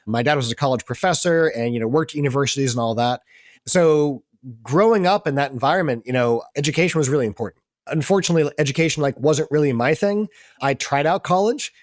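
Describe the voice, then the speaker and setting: American guy on a podcast, middle aged, talks really fast, chatty, dry clean sound. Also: fairly high pitch, no echo. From Boston area, US East Coast. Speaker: podcast guest, entrepreneur. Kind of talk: conversational interview storytelling.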